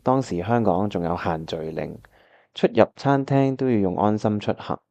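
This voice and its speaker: An actor playing an earnest, caring young pianist in a Cantonese radio drama, with a Hong Kong accent. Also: male voice, lowish voice, lively intonation, moderate pace, creaky, dull audio, background noise. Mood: reflective narration. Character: earnest, caring young male pianist, narrating his memories. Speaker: voice actor narrating and playing the lead.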